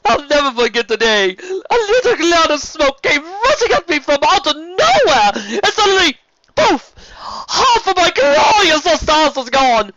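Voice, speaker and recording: A woman voicing a vain, gushing, flamboyant male sea serpent duke with theatrical wails and drawn-out exclamations in a fan story reading. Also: very high-pitched, very animated, fairly bright tone, voice pushed up, exaggerated voice. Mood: anguished, melodramatic. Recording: slightly dull, lo-fi recording.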